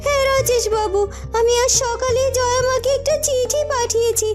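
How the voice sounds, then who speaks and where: Woman as sweet hopeful cartoon maiden, very high voice, music behind. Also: steady tone, normal speed. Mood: tender, hopeful. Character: devoted young woman, tender and hopeful.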